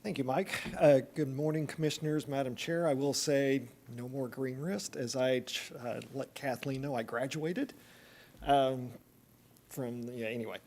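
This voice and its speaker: A man's voice: medium pitch, steady tone, a little slow, a little mellow. A man with an East Tennessee accent, a planning consultant giving a chatty, joking update at a public meeting, with many fillers.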